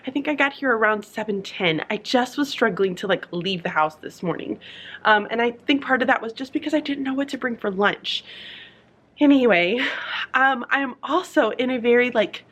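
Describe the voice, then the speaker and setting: A woman's voice: fairly high pitch, expressive, moderate pace, fairly bright tone. Sleepy young woman teacher rambling to camera. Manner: rambling, lots of fillers and restarts. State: sleepy morning voice. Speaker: teacher vlogger. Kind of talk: casual talk to camera. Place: her classroom at school.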